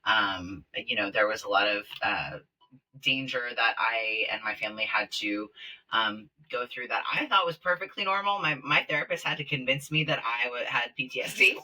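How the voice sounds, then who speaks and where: Bright-voiced chatty man from Kenya. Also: medium pitch, moderate pace, far from the mic, poor audio. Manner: chatty, lots of fillers, lively when retelling stories. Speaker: podcast guest, company founder. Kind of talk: casual interview chat. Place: small closet studio, in person.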